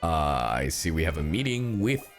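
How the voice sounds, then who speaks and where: Livestreamer: hugely animated pitch swings, playful sweary reactions, unhurried pace, music underneath. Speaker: game streamer. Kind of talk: casual livestream reaction commentary.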